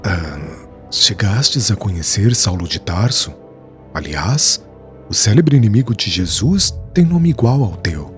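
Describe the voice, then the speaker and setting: Man doing an earnest weaver in an audiobook, solemn and measured, low and lively in pitch, soft music underneath. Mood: grave. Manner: solemn, measured storytelling of past suffering. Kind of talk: audiobook character dialogue. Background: faint background music.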